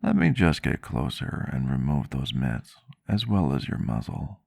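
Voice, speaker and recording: A man playing a gentle, courteous vampire doctor in an ASMR roleplay, soft-spoken, caring and formal in his wording, close to the mic. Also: a bass voice, huge pitch swings, a little slow, creaky, dry recording, full character voice. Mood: tender, reassuring. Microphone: close microphone, dry sound.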